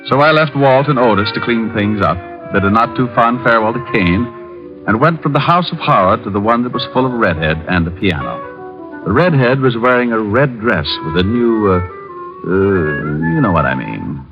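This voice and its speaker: An actor playing a cocky, wry and flirtatious private detective in 1940s radio style. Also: male voice, lowish voice, lively intonation, moderate pace. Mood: wry narration. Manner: wry, breezy, teasing. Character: Richard Diamond, wisecracking flirtatious private detective, cocky and easygoing. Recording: dull old radio recording with music.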